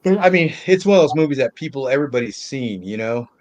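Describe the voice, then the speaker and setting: A man talks casually as a co-host on a film discussion show. His delivery is blunt and opinionated, with loose, salty phrasing and a middle-of-the-road pitch. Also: lively intonation, fast, darkish tone, dull audio. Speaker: movie podcast co-host.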